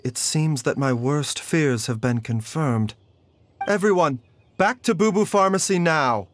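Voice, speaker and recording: An actor playing a gentle, composed male doctor in a video game, calm, courteous and reassuring. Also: a little high, a little slow, slight background noise.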